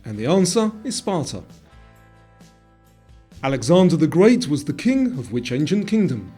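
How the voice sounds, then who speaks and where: A man with a fairly high, very lively voice narrates a scripted quiz. He presents each question in a measured way, with a husky edge to the voice. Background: guitar music.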